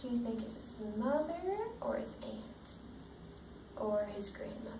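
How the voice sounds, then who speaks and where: Female teacher, storybook read-aloud, higher-pitched voice, roomy sound with faint noise. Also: expressive, unhurried. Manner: checks understanding with simple questions. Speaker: teacher reading a picture book to children. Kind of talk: read-aloud with questions to young pupils. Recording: roomy, distant mic, faint background noise.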